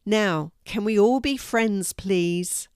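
A woman voicing a calm, kindly male donkey in a children's story, unhurried and reassuring. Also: medium pitch, smooth, no echo, clean studio sound, natural voice.

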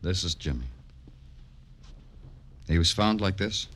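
An actor playing a weary, hardboiled police detective, brooding and poetic in the style of 1950s radio noir. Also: male voice, low-pitched, lively intonation, slow pace, a bit bright, slight background noise, exaggerated voice. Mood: businesslike, somber. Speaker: detective narrator and lead. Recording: old radio recording with music behind.

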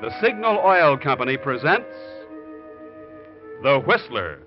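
A man's voice: mid-range voice, very animated, a little slow, over loud music. A man with an American accent announcing from a script in polished, emphatic 1940s radio style. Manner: emphatic, polished sales delivery. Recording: muffled 1940s radio recording.

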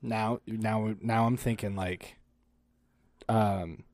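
A man's voice: fairly low pitch, fairly even intonation, very slow, a little mellow, no echo, studio quality. Wisecracking, cursing man. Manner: wisecracking and profane. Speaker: podcast co-host. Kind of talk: casual chat.

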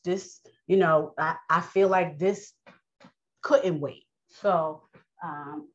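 A woman with a New York accent delivers an informal sermon in a low voice, speaking earnestly and pausing often between thoughts. The recording is slightly muffled and dull, like a simple home podcast setup. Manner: earnest, unhurried, with many pauses and fillers. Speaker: podcast host and preacher.

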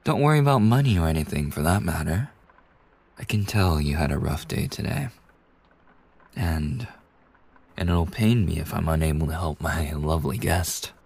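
A man playing a kind, polite young bartender in an ASMR roleplay, speaking softly and breathily in a courteous, soothing way with hesitant pauses. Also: rumbling low, lively intonation, full character voice. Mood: reassuring, tender. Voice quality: soft, breathy. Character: kind, polite young male bartender, gently attentive university student. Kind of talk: ASMR roleplay, soft intimate scripted acting.

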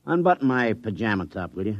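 A man's voice: lowish voice, sing-song, fast, no room sound. Old spaceman: ailing, folksy, wistful and secretive; dry, dull vintage radio drama sound. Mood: weary, secretive. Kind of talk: 1950s science fiction radio acting.

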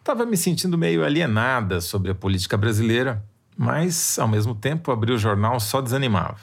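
A man's voice: mid-range voice, sing-song, a little fast, vocal fry. A Brazilian man co-hosting a podcast from home, reading a listener email with dry, wry humor. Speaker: podcast co-host. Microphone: remote home mic.

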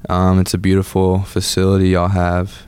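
Deep-voiced laid-back young man. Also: completely flat delivery, moderate pace, a little mellow, clean tone. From Atlanta. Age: 20s. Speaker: musician guest. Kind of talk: casual interview chat.

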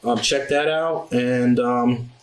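A man's voice: medium pitch, fairly even intonation, takes it slowly, reverberant. A man from New York, a podcast guest, explaining at length with dates and facts in a casual interview.

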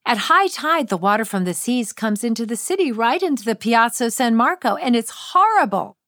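A woman's voice: fairly high pitch, very animated, normal speed, a bit bright, no echo, studio quality, pitched above the performer's own voice. A woman reader voicing a glamorous female real estate agent, confident and breezy, in an emphatic, reassuring way. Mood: earnest, emphatic.

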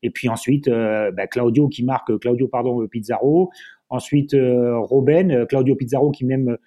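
A football pundit whose first language is German talks things over in a casual podcast. His voice is deeply dark and mellow, and he pauses often, restarting and repeating words as he goes.

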